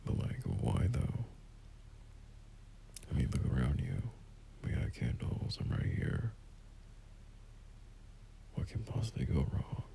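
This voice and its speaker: A man playing a gentle, teasing young boyfriend in an intimate ASMR roleplay, speaking softly and breathily, often half-whispering in a hesitant, soothing way. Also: very deep voice, very animated, slow, gravelly, long pauses, heavily acted. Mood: reassuring, coaxing. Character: gentle, teasing young boyfriend comforting his scared partner. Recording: faint background hiss.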